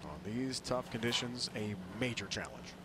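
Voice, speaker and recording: A man doing live play-by-play for a televised bowling match, calling each shot in brisk, punchy phrases. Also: mid-range voice, lively intonation. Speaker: play-by-play announcer. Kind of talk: live sports commentary. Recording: loud arena crowd and noise behind.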